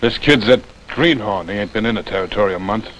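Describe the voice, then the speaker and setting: An actor playing a blunt, defiant civilian army scout with folksy frontier speech in a 1950s TV western. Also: male voice, lowish voice, a little fast, a bit bright, slight background noise, lightly acted, low-quality recording. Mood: protective. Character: plain-spoken, defiant civilian army scout, protective and wary. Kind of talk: 1950s television western acting.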